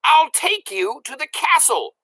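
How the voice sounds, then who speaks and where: A woman reading aloud and voicing a blunt male soldier who shouts his lines. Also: high-pitched, very animated, unhurried, no echo, pitched above the performer's own voice, full character voice. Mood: gruff. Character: gruff male soldier driving a wagon.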